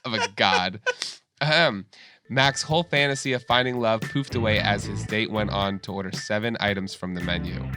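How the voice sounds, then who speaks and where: A man co-hosting a podcast in crude, excitable chat, sniffly, laughing and swearing as he reads fanfic aloud. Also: fairly low pitch, moderate pace, over music. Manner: profane, excitable, laughing often. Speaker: podcast co-host.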